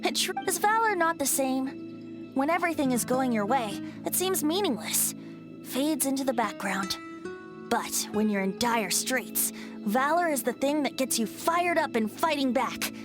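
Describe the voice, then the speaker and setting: A woman's voice: high voice, expressive, a little slow, over music. A woman voicing a playful, lyrical young male bard in a video game dub, speaking in a sing-song, poetic way. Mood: musing. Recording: game cutscene with loud soundtrack.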